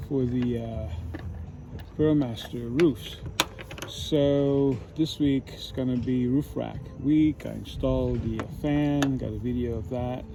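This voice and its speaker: Creaky-voiced man tinkering amid clear noise. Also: medium pitch. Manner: tentative, checking himself as he works. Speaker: DIY van build vlogger. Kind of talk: casual thinking-aloud demonstration. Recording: clear ambient noise, hardware clinking.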